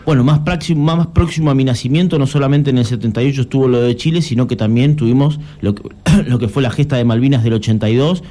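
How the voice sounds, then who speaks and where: A man's voice: mid-range voice, quick pace, dark tone. A young adult man with an Argentine Spanish accent, a journalist guest explaining things earnestly with frequent restarts. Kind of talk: conversational interview.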